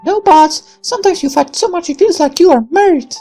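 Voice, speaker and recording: Casting: young girl voiced by an adult in falsetto, very high, firm and teasing, visual novel. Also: fairly quick, warm tone, faint music behind. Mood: scolding. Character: assertive scolding young girl, playfully teasing.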